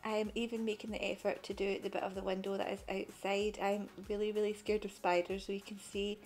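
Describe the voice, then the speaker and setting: A woman's voice: medium pitch, little pitch movement, a little fast, darkish tone, soft background music. A young woman narrating her cleaning vlog over the footage in a chatty, matter-of-fact way. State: pregnant. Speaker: cleaning vlogger.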